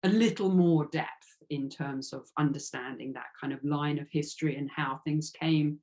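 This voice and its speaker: A woman's voice: low-pitched, moderate pace, a little mellow. A woman giving a reflective interview as an author, speaking earnestly and tentatively and circling around her phrases.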